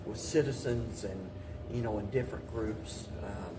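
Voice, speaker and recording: A man speaking as an interview guest and city mayor, giving halting, measured answers and often repeating phrases. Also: lowish voice. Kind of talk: talk show interview answers. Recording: distant mic, clearly noisy room.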